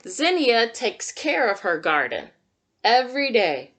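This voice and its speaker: A woman's voice: a little high, sing-song, a little slow, a bit bright. A woman teacher reading a picture book aloud to young children, adding simple, encouraging comments and questions as she goes. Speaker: kindergarten teacher.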